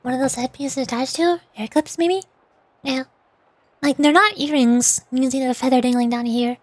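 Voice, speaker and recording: A woman's voice: high voice, normal speed. A woman livestreamer chatting casually about a game in a bubbly, girlish manner. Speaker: streamer playing and voicing game lines. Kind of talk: casual livestream chat and commentary.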